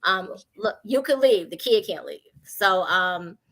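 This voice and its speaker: An American woman giving candid conversational advice on a panel, animated and informal, illustrating points with anecdotes. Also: medium pitch, unhurried, poor audio. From Chicago, United States.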